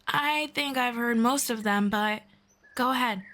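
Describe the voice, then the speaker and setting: A woman playing a friendly, calm forest elf in an ASMR fantasy roleplay, speaking in a measured, playful, gently sarcastic way. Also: on the high side. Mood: teasing, reflective. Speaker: roleplay voice performer.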